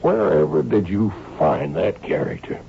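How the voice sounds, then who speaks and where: An actor playing a seasoned, shrewd police inspector who tells his case in a measured, wry way in a radio drama. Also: on the low side, huge pitch swings, vocal fry, a little hiss or hum, heavily acted. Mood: wry, probing. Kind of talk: radio drama acting with first-person narration. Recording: dull old radio broadcast.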